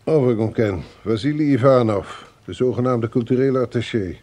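A man acts a commanding intelligence chief in a radio drama. His voice sits at a middle pitch. He sounds brisk, impatient and authoritative. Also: warm tone, natural voice.

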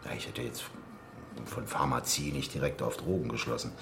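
A man's voice: very deep voice, fairly even intonation, moderate pace, vocal fry. A man playing a courteous, slightly fumbling politician in a radio drama, polite and hesitant. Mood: curious. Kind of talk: naturalistic radio drama acting. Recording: echoey room with background noise.

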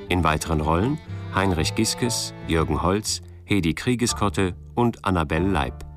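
Radio drama announcer, male: deep, measured, formal credit delivery over guitar music. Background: plucked guitar music.

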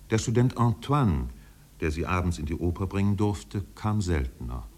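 An actor as the narrator of a 1950s German literary radio play, telling the story in a measured, precise, composed way. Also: male voice, sits low, a little mellow.

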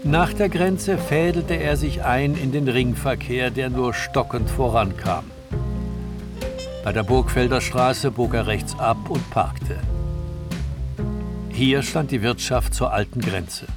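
A man's voice: mid-range voice, takes it slowly, over music. A man narrating a crime story for a radio drama in a scripted, storytelling style.

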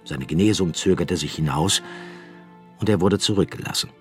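Casting: male narrator for literary radio play, very low voice, measured delivery over faint music. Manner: measured, storytelling in long literary sentences.

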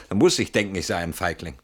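A man's voice: deep voice, sing-song, moderate pace, slightly rough, full character voice. An actor playing an anxious, brooding man in a German radio play, defensive and articulate, turning things over with theatrical irony. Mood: reflective, uneasy.

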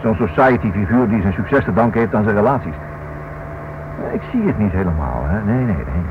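A man's voice: lowish voice, lively intonation, fairly quick, background noise, natural voice. A male actor plays an inquisitive writer in an old radio drama. He reasons aloud insistently with very mobile intonation, circling back over his words. The sound is dull and band-limited. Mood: excited reasoning. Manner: thinks aloud, insistent, repeats himself. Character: inquisitive, stubborn married man writing a book. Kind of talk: radio play acting.

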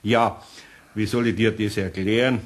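A man's voice: lowish voice, steady tone, normal speed, lightly acted. An actor playing a good-natured, grumbling older craftsman with a Bavarian accent, homely and hesitant, in a children's radio play. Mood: patient, chiding. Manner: homely, hesitant, muttering little interjections.

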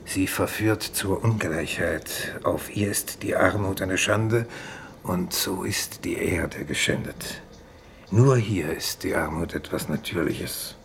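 An actor in a 1950s German radio play, playing a resigned, unbending exiled doctor who speaks in measured, grave declarations. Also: male voice, low-pitched, vocal fry, exaggerated voice. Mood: solemn conviction. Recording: faintly noisy 1950s radio recording.

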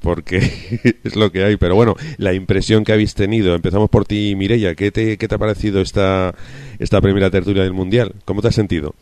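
A man hosting a casual radio sports panel, chatty and relaxed, steering the talk with friendly questions. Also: deep voice, fairly quick, no room sound. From Canary Islands. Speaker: radio talk show host.

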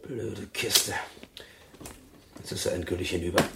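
An actor playing a gruff, blunt prison cellmate in a radio play, with a curt, coarse, mocking way of talking. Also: male voice, low voice, steady tone, a little slow, recorded from a distance, heavy background noise. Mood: grumbling.

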